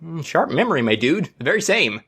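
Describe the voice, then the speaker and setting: A man's voice: fairly high pitch, sing-song, fairly quick, bright, forceful tone, husky. A man co-hosting a podcast, reading skit lines and chatting casually with a playful, jokey manner. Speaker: podcast co-host and writer. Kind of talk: casual chat and scripted skit read live.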